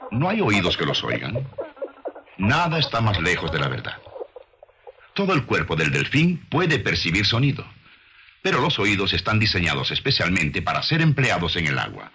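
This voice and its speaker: Low-voiced man narrating with lively intonation. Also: noisy background. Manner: didactic, rhetorical questions to the listener. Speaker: documentary narrator. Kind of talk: scripted nature documentary narration.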